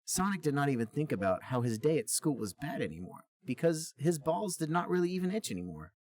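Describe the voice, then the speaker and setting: A man co-hosting a podcast, reading comic fan fiction and chatting casually in a deadpan, mock-serious way. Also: a little high, a little fast, crowd noise. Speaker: podcast co-host and reader.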